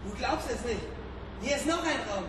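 A man's voice: extremely high pitch, animated, normal speed, background noise. An actor playing a bold, curious young man turned amateur investigator, speaking colloquially and urgently in a radio drama. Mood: urgent, excited. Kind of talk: German radio drama acting.

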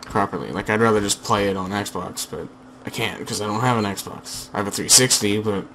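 A slightly hoarse young man with a lowish voice rambles over a game, full of "like" and half-finished restarts. He talks through a headset at home, with faint hiss and game ambience behind him. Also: fairly quick, bright, forceful tone. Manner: rambling, filler-heavy chatter with frequent restarts. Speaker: gaming channel host. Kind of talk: casual gameplay commentary.

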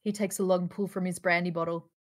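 A woman's voice: on the low side, flat delivery, fast. A woman reading stage directions as the narrator of a live online table read. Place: home room, online video call.

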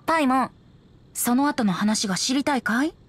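High-voiced, boyish wandering bard spirit. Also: female voice, lively intonation, heavy background noise. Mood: gentle, wistful. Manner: lyrical, unhurried storytelling. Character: youthful boyish wind spirit bard, gentle and wistful. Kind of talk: anime video game voice acting, poetic narration.

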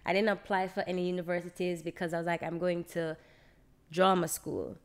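A woman's voice: lowish voice, fairly quick. A young adult woman with a Jamaican accent, telling stories casually and acting out dialogue as she chats into a close podcast mic. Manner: animated, acts out quoted dialogue. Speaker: podcast guest, actress. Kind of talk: casual conversational storytelling. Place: open, bright living room, on a sofa. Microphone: podcast microphone on boom arm, close.

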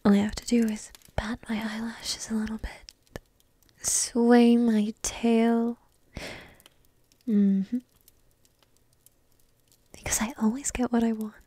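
A woman playing a young dragon princess in an ASMR roleplay, coy, teasing and lovestruck, half-whispering in a soft, intimate, breathy way. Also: a little high, flat delivery, slow, long pauses, no room sound. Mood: playful teasing.

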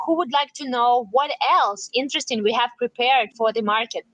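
A woman with as her interprets into English, rendering each phrase right after the speaker and sometimes loosely. Her voice is high and bright. The recording is close and dry. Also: normal speed, no room sound, poor audio.

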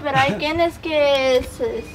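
High-voiced young woman, loud crowd around. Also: slow. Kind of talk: casual interview answers. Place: outdoor wooden wall by a lake. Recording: loud crowd chatter behind.

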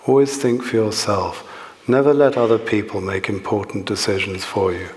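A man's voice: lowish voice, steady tone, normal speed, a little mellow, lightly acted. A man reading the part of a cruel, domineering father with a cool manner, in a literary story. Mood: cold, bored. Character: cruel, bored, domineering father, coolly persuasive. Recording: long hall reverb.

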